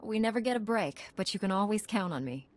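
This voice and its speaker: An actress playing a dutiful, wry young Jedi Knight heroine in a video game. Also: female voice, mid-range voice, animated, fairly quick, long reverb tail, close to an everyday voice. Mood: earnest. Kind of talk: video game cutscene acting.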